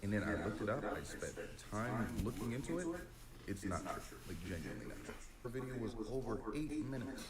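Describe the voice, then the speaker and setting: A man in a social media clip, debunking a claim in a rapid, exasperated rant. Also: lowish voice, sing-song, roomy sound, over a noisy crowd. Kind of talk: fast ranting reaction video.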